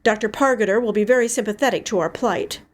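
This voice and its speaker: A woman's voice: mid-range voice, fast, voice pushed up, close to an everyday voice. A woman voicing a grieving, protective older sister in an audiobook story, emotional and emphatic in her delivery. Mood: firm, planning. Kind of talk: dramatic audiobook character dialogue.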